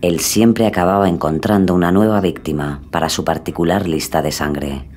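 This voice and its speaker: A man giving dramatic scripted true-crime narration in an ominous, deliberate manner. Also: sits low, fairly even intonation, a little mellow, a little hiss or hum. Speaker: podcast narrator.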